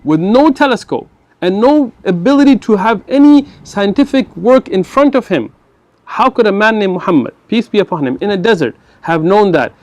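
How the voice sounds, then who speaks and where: A man's voice: high voice, lively intonation, moderate pace, darkish tone, no room sound. A man giving an earnest religious lecture, asking rhetorical questions. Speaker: speaker in a played video clip.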